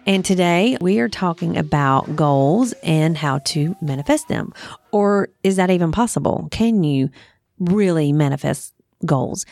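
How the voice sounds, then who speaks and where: A woman podcast host with a low voice and plenty of vocal fry. She talks casually and frankly, working out her thoughts aloud. The sound is dry and close. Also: a little mellow, dry recording. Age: 30s to 40s. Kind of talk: casual conversational podcast chat.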